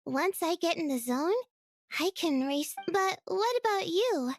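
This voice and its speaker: A woman's voice: very high voice, lively intonation, a little slow, slight background noise, full character voice. A woman voicing a dreamy young designer with a casual, musing manner in a video game. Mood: relieved, musing. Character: youthful female freelance designer, dreamy night owl. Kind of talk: video game dialogue acting.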